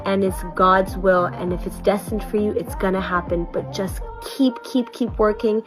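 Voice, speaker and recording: A woman in her thirties speaks earnestly and warmly about what a long journey taught her, her tone heartfelt and motivational. A clear music bed plays underneath her voice the whole time. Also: medium pitch, steady tone, moderate pace. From Canada. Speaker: performer recapping her tour. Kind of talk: reflective personal vlog.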